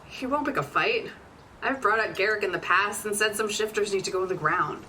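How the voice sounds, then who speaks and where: A woman's voice: mid-range voice, fairly quick, bright, forceful tone, close to an everyday voice. A woman playing a feisty, hot-tempered, sardonic ally in an audio drama, blunt and emphatic in her speech. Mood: angry, sardonic. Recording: distant from the mic, faint noise.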